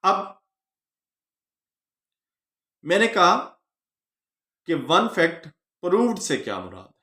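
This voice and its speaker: A man with a Pakistani accent giving an explanatory law lecture, teaching phrase by phrase with pauses. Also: high-pitched, wildly swooping pitch, sharp tone. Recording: echoey room.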